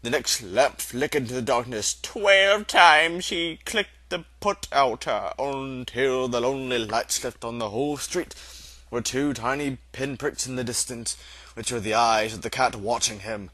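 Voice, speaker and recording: Male story narrator: theatrical, emphatic reading, forward bright tone, very dry sound. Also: medium pitch, measured pace, no room sound. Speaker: amateur audiobook reader.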